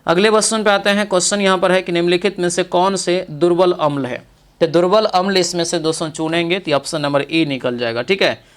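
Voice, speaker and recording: A man's voice: sits high, a bit bright, clean tone. A man teaching an exam practice lesson, reading each question aloud and then confirming the answer.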